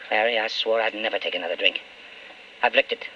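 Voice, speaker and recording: An actor playing a weak, wavering alcoholic husband and politician in an old-time radio drama, halting and emotional, often stammering. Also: male voice, on the low side, natural voice. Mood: pleading, uncertain. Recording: dull, faintly noisy old radio recording.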